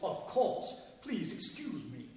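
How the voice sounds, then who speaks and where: A man's voice: high-pitched, sing-song, slow, darkish tone, exaggerated voice. A man playing a courtly, elaborately polite salesman in a stage play. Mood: evasive. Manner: elaborately polite, hesitant when pressed. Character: courtly, overpolite traveling male salesman. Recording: echoey hall from far off.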